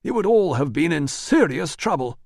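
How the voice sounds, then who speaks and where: A man voicing a panicky, blustering male employer in an audiobook, with rapid, indignant outbursts. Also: on the high side, sing-song, vocal fry, darkish tone, no echo, clean studio sound, pitched above the performer's own voice, full character voice. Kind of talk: audiobook dialogue.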